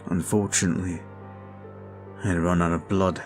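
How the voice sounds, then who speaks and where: A man voicing a brooding male vampire who is weary and guilt-ridden, talking slowly and softly in an ASMR roleplay. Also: sits low, level tone, natural voice. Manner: slow, soft, confiding. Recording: faint music behind.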